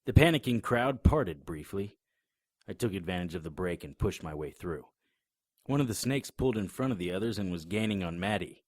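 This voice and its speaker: A man voicing a hard-boiled 1920s private eye, narrating in a laconic, deadpan noir style. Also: low-pitched, fairly quick, no room sound. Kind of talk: first-person noir narration.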